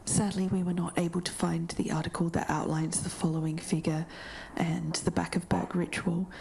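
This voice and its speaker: A woman with an Australian accent whispering podcast narration in a quiet library. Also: on the low side, little pitch movement, normal speed, vocal fry, slight background noise. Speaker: podcast co-host.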